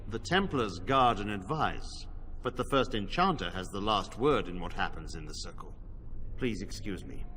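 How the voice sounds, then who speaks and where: Authoritative game knight commander, stern, formal, animated pitch, long reverberant hall. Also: male voice, normal speed. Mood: formal, grateful. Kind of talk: fantasy video game voice acting. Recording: long hall reverb, faint background noise.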